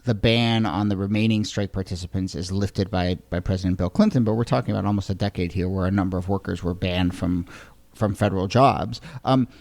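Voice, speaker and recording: A man's voice: sits low, fairly quick, a little mellow, dry recording. Male podcast co-host, steers the conversation and passes questions along, casual hedging. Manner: guides the talk, hands questions to co-hosts, hedging "you know". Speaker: co-host and moderator. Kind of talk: conversational history podcast discussion.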